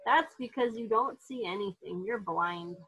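Storybook pit bull played by a woman: gentle big male dog, downcast and patient, husky voice. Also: medium pitch, measured pace, low-quality recording. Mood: patient, explaining. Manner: subdued, weary, patient.

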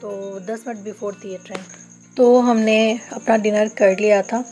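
A woman's voice: medium pitch, fairly even intonation, measured pace, dark tone, faint music behind. A woman from Bokaro in India, chatting through her train journey as a travel vlogger and explaining each step to viewers on a handheld phone camera. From Bokaro, Jharkhand, India. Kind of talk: casual travel vlog narration. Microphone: phone camera held while filming.

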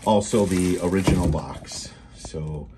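An American man giving a casual, unscripted equipment demonstration in a laid-back, halting, matter-of-fact way, recorded indoors on a handheld camera mic. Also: sits low, very noisy. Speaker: seller demonstrating a restored CB radio. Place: indoors at a radio bench.

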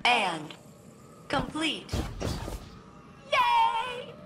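Cheerful machine-mind role for a game, lively and slow. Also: female voice, very high-pitched, over music, heavily acted. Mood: gleeful. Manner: chirpy, gleeful.

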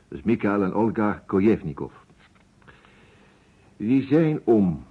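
An actor playing a courteous, precise private detective in a vintage radio play, musing deliberately and dropping in French phrases. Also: male voice, lowish voice, husky, heavily acted. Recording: muffled phone-like old radio recording.

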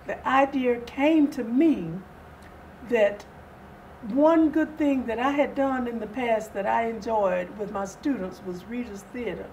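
An older, retired woman telling stories as an interview guest, speaking in deliberate, halting phrases. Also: on the high side. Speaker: theater group co-founder, interview guest. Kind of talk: interview storytelling. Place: small furnished indoor sitting-room set. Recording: distant mic, noisy room ambience.

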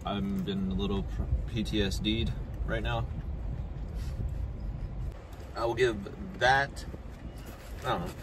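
Sarcastic guy in his 40s chatting about tacos in a parked car, street noise clearly audible behind him. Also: on the low side, steady tone, normal speed. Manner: joking, sarcastic asides. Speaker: food vlogger. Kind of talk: casual food review chat. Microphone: phone camera on dashboard.

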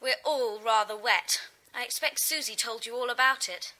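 A woman's voice: a little high, moderate pace, pushed and bright, poor audio. A woman playing a spirited, outspoken schoolgirl in a children's audio drama, with emphatic delivery. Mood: friendly.

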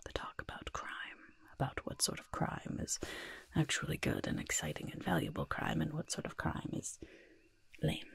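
A woman voicing a fussy, kindly goblin disguise master in a whispery, breathy, coaxing ASMR roleplay, close to the microphone. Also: sits low, fairly even intonation, normal speed, no echo. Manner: coaxing, gentle, hesitant asides, repeated words. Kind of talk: soft-spoken ASMR roleplay.

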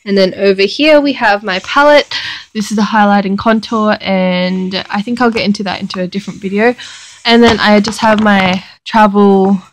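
A woman's voice: medium pitch, fairly even intonation, moderate pace, slight background noise, low-quality recording. A young woman in her twenties talking casually into her phone, rambling with lots of fillers and self-corrections, first showing her packing and then filming herself in an airport terminal. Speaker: vlogger. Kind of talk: casual vlog chat. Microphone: phone held at arm's length.

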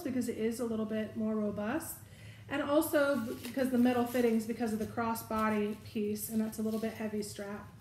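A woman's voice: a little high, a little mellow, roomy sound, slight background noise. A middle-aged woman chatting casually through a shopping haul at home, describing each bag's details and correcting herself as she goes. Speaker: vlogger showing a shopping haul. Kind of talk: casual product review. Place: living room at home, indoors. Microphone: fixed camera at table distance.

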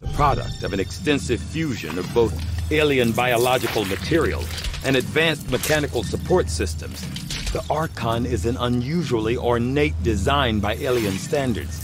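A man plays a learned scientist in a video game. He narrates in a formal, precise way at an ordinary pitch, quietly fascinated, with clear music underneath. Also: lively intonation, moderate pace, natural voice. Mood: clinical curiosity. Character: learned male alien-autopsy scientist, measured, fascinated, formal. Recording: music behind the voice.

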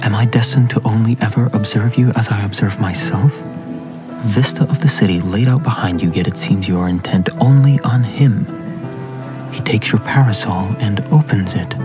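An actor playing an earnest, infatuated young gentleman in a period radio drama, polite, formal and a little hesitant. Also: mid-range voice, natural voice. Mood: tormented longing. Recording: loud music bed behind.

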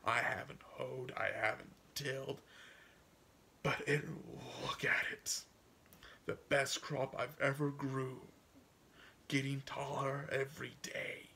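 A man reading a story aloud as a proud, stubborn old farmer, folksy and musing, with a gravelly voice that drifts into hushed asides. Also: mid-range voice, level tone, slow, slight background noise, heavily acted. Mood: dreamy, wistful. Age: older. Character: proud, wistful, stubborn old farmer dreaming for his son. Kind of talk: dramatic audiobook reading in first person.

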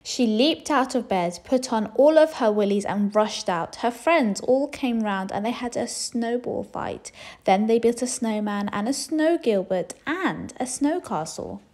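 Expressive, slow-paced woman reading to children. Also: a little high. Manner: expressive, addresses young listeners directly. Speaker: storybook reader. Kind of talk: children's read-aloud narration.

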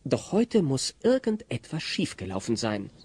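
Wry storyteller for a comedy radio play, husky and expressive. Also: male voice, medium pitch, a little slow, full character voice. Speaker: narrator. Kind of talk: wry scripted narration of comedy audio drama.

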